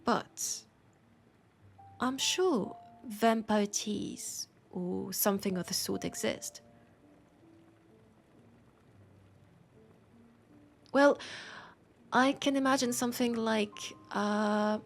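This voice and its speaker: Intimate roleplay: female actor as gently teasing mortal bookseller, French accent, mid pitch, unhurried delivery, music underneath. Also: vocal fry. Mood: light, playful. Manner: soft, hesitant, gently teasing, unhurried with pauses. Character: warm, teasing, worried mortal bookseller woman, fond of a vampire. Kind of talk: intimate scripted roleplay audio.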